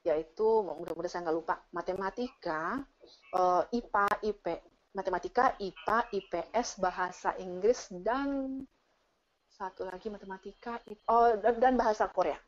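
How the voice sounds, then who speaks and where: A woman giving casual, animated explanations as she answers audience questions on an online call. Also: medium pitch, normal speed. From Indonesia. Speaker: teacher and speaker sharing her experience. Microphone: online video call. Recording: dull, lo-fi online meeting audio.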